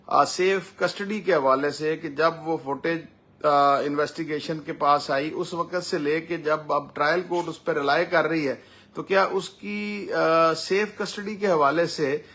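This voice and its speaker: A man with a Pakistani accent giving a semi-formal explainer as a lawyer, speaking deliberately with drawn-out hesitations. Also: on the high side, a bit bright.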